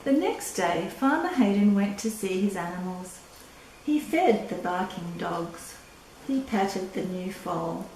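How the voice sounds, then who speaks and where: An older woman reading a picture book aloud to children, deliberate and drawn-out, with little asides. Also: mid-range voice, animated, a little mellow. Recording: echoey room, distant mic, faint noise.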